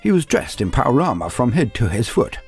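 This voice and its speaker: A man's voice: medium pitch, very animated, a little fast, slightly rough, faint music behind. A man delivering dramatic scripted narration of fantasy lore with a theatrical storytelling manner.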